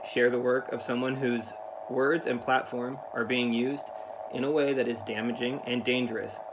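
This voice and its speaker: A man speaking to children in an earnest, careful, deliberate way, as in a read-aloud. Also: medium pitch, gravelly, background noise. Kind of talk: read-aloud of a children's picture book with spoken introduction.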